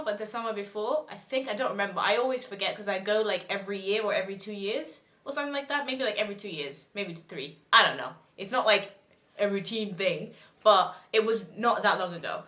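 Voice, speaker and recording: Young woman from zimbabwe doing a get to know me vlog, chatty and giggly, kinda high voice, echoey room. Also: bright, forceful tone. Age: 20s. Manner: chatty, giggly, self-deprecating. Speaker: YouTuber.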